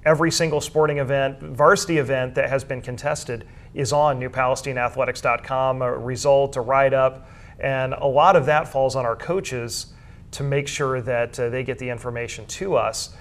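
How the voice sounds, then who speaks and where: A man's voice: mid-range voice, fairly even intonation, moderate pace. Middle-aged American man explaining earnestly. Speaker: guest, school sports information director and journalism teacher. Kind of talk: explanatory interview talk.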